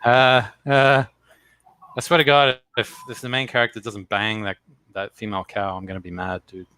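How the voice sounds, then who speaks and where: Bright-voiced man joking in casual chat. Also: fairly low pitch, flat delivery, moderate pace, dry recording, poor audio. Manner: joking, crude, laid-back. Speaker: comic artist co-host.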